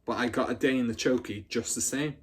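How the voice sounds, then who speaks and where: A man's voice: mid-range voice, a little fast, breathy edge, natural voice. A man voicing a boastful, battle-hardened older schoolgirl in a storybook, telling her exploits with swaggering drama. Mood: dramatic, aggrieved.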